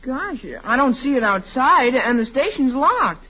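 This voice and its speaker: A woman's voice: high-pitched, sing-song, unhurried, slightly rough, heavily acted. A woman playing a blunt, skeptical American boy in an old radio comedy, with an earnest, questioning manner. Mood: dismayed. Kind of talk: 1940s radio comedy acting. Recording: muffled, hissy old radio recording.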